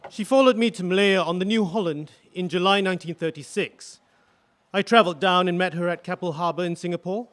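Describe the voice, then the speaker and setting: Actor as a high-voiced, solemn male witness. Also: fairly bright tone. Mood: fond, earnest. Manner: solemn, deliberate, precise. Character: grave, earnest male electrical engineer, widowed war witness. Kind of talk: dramatic courtroom testimony.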